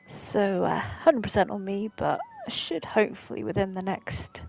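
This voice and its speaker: A woman live streamer chatting casually while gaming, halting and easygoing. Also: medium pitch, vocal fry, a little mellow, poor audio. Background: game music.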